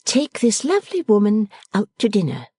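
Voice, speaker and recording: A woman's voice: medium pitch, huge pitch swings, normal speed, mellow, breathy edge, no room sound, voice pushed up. A woman reading the part of a playful, affectionate young woman who teases and orders her father about. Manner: teasing, commanding, merry.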